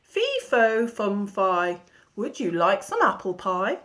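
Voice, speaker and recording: A woman doing a slow chanting gentle giant. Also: medium pitch, sing-song. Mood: friendly. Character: huge gentle polite giant, friendly.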